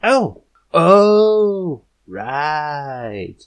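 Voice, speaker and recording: A man voicing a brash, excitable young female cartoon pony with a sandy, exaggerated, over-enthusiastic delivery. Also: on the high side, very slow, pitched above the performer's own voice, heavily acted. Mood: sudden realisation. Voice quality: sandy, shouty.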